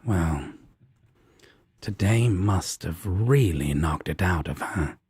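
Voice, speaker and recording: A man playing a teasing, soft-hearted demon roommate in an intimate audio roleplay, talking casually and with gruff affection. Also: sits low, lively intonation, slow pace, no echo, heavily acted. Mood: soft, sincere.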